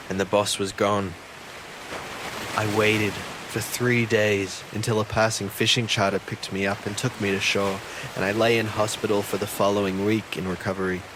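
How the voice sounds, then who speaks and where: An actor playing an earnest, haunted fisheries officer who tells his story haltingly in a first-person audio drama. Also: fairly low pitch, noisy background, natural voice. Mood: somber, reflective. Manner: halting, earnest, stammering when pressed. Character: haunted male fisheries officer recounting a ghost-ship encounter. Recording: loud boat and sea ambience behind.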